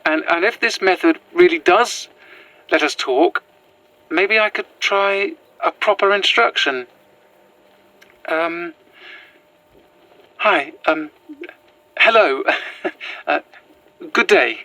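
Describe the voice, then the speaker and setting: A woman's voice: on the low side, takes it slowly, pauses often, sharp tone, no room sound, rough recording. A woman with a British accent playing an anxious, earnest former teacher on the run in a horror audio drama, hesitant and apologetic.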